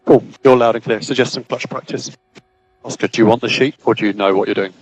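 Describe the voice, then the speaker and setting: A man's voice: mid-range voice, fairly even intonation, fast, rough recording. Man on team radio, clipped race engineer. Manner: clipped, repeats key instructions. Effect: team radio transmission. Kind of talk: terse radio instructions to a driver. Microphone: radio headset.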